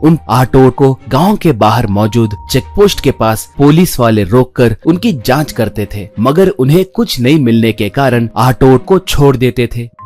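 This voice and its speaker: A man with a medium-pitched voice narrates a scripted children's tale. Music plays behind his storytelling. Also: expressive, unhurried, hardly any pauses, darkish tone.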